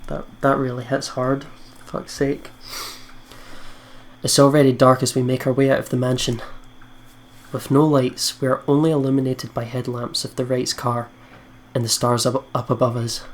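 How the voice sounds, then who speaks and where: A man's voice: mid-range voice, flat delivery, normal speed. A man who plays games for viewers, reading a visual novel's narration aloud. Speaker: let's player. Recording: game music behind the voice.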